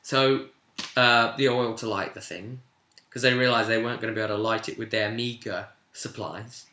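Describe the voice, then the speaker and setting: Bright-voiced man in an echoey room. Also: mid-range voice, steady tone, normal speed, slight background noise. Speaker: game master. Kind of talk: casual recap of a tabletop role-play session. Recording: roomy, echoey room sound.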